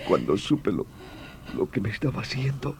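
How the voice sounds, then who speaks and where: Low-voiced, anguished, haunted doctor. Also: male voice, big pitch swings, vocal fry, darkish tone. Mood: horrified grief. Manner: halting, theatrical, swinging from murmurs to outcries. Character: anguished doctor, brother who confesses killing his vampire wife. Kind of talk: old radio horror drama acting. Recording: noisy old radio drama recording.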